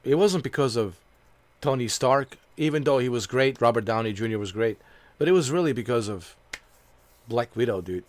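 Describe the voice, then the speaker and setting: A man's voice: mid-range voice, animated, moderate pace. A man born in Bosnia who grew up in America, chatting casually and rambling while streaming a game, with many fillers and repeated words. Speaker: gaming streamer. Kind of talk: casual chat while playing.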